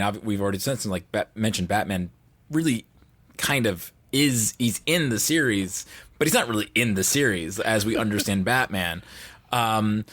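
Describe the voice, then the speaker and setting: A man's voice: lowish voice, fairly bright tone. A man chatting casually as a podcast guest, informal and illustrative in how he talks.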